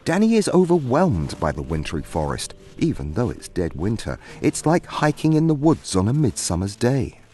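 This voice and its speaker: A man's voice: mid-range voice, huge pitch swings, normal speed, hardly any pauses, a little mellow. A man narrating a scripted fantasy story in a theatrical storybook manner.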